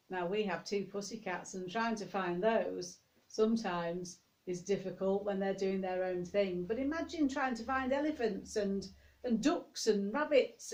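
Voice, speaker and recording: A woman's voice: mid-range voice, a little mellow. A woman reading a picture-book story to young children, expressively, with chatty asides spoken straight to the listeners.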